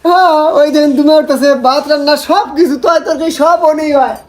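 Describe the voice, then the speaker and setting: A woman's voice: high-pitched, fairly even intonation, a little fast, lightly acted. A woman playing a sly male village swindler in a comic skit, with a rural Bangladeshi accent and a pleading, melodramatic delivery. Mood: wheedling. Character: sly village swindler man, whining and wheedling.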